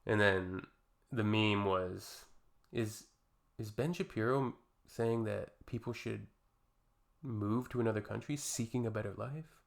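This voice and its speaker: A man's voice: fairly low pitch, measured pace, vocal fry. A young Canadian man hosting a reaction video, reading posts aloud and adding dry, sarcastic, exasperated remarks.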